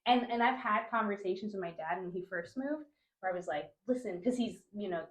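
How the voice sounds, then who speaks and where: A woman's voice: medium pitch, brisk, echoey room, rough recording. A young woman from Honduras who grew up in New Jersey, talking casually as a podcast guest and filling her speech with "like" and "you know". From Honduras, raised in New Jersey. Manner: chatty, many fillers like "like" and "you know". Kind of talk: casual conversational interview.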